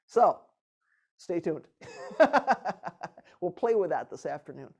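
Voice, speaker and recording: A woman leading a retreat discussion in a relaxed, animated way, laughing often and restarting her sentences. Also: lowish voice, takes it slowly, gravelly, breathy edge. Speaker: retreat teacher. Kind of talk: casual teaching talk.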